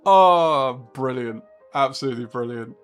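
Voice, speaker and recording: Chatty man in his thirties. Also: mid-range voice. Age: 30s. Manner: chatty and rambling, laughs often, talks to chat. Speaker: racing game streamer. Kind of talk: casual live-stream chat.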